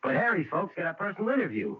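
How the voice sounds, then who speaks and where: A man's voice: high-pitched, big pitch swings, very fast, highs cut off. An actor playing a stern, suspicious middle-aged American father in a radio drama, with a blunt, commanding manner. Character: tense, stern father protective and suspicious of visitor. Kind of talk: old radio drama acting. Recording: band-limited old radio recording.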